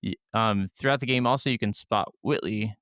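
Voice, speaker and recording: Guy with a lowish clean smooth voice, casual gaming podcast chat, dry close sound. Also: a little fast, a bit bright, no echo. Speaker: podcast co-host.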